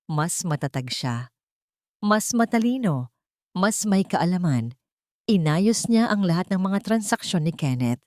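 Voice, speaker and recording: A woman's voice: fairly low pitch, a little mellow, no room sound, studio quality. A woman with a Filipino accent reading scripted, dramatic story narration as an audiobook narrator.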